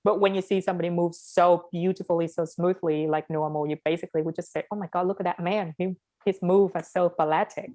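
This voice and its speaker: A young woman with a Vietnamese accent and a fairly low voice talks in a casual conversation. She is frank and animated, and she states her opinions firmly. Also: fast talker, hardly any pauses. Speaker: interviewee.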